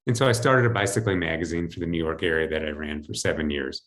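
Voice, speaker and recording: Low-pitched American man telling his story. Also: fast, a bit bright. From United States, New Jersey. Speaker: podcast guest, financial advisor. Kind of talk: conversational personal storytelling. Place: home, indoors. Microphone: video call computer mic.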